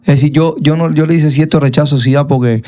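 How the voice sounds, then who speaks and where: A man's voice: on the high side, fairly even intonation, fast, warm tone. A man with a Cuban accent hosting a casual sports podcast chat, emphatic and given to repeating words for emphasis. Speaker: podcast host.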